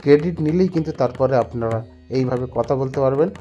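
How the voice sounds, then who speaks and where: A man's voice: mid-range voice, a little fast, mellow. A man presenting a step-by-step phone app tutorial in an instructional, repetitive way. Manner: instructional, repeats points and phrases. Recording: music bed behind the voice.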